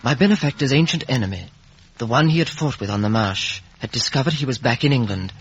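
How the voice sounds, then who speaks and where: An actor playing an earnest, lovelorn young gentleman in a 1950s radio drama. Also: medium pitch, lively intonation, fast, husky. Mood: grave narration. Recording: muffled, dull vintage radio recording.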